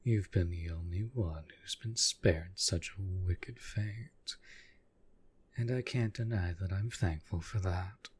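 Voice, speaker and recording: A man playing a proud, possessive demon in a roleplay audio drama, speaking formally to the master he serves with menacing devotion in a husky, breathy voice. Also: low voice, full character voice. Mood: proud, devoted. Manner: formal, measured, menacing yet deferential.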